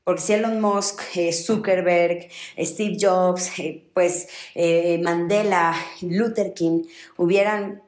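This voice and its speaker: A woman's voice: on the low side, steady tone, slow, a little mellow, echoey room. A young woman from Ecuador giving an earnest motivational talk, repeating phrases for emphasis. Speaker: motivational speaker.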